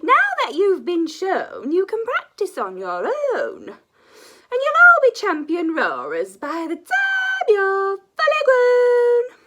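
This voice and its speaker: A woman voicing a grand, commanding female dragon teacher in a storybook, loud and drawn-out. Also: extremely high pitch, huge pitch swings, noisy background, voice pushed up, full character voice.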